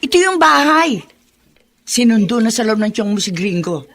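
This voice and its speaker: A woman's voice: on the high side, big pitch swings, normal speed, a bit bright. A woman playing a cold, scheming middle-aged aunt in a Filipino radio drama, curt and impatient, with a Filipino accent. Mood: impatient, bossy.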